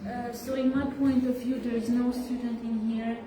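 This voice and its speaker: A teenage girl student giving her view in a classroom discussion, speaking haltingly with many fillers. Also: a little high, flat delivery. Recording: echoey room from far off, clear background noise.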